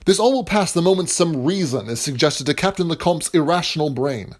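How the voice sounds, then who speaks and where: An actor playing a self-assured, eloquent gentleman playwright in a period audio drama. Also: a little high, expressive, fairly quick. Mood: confident. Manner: eloquent, composed.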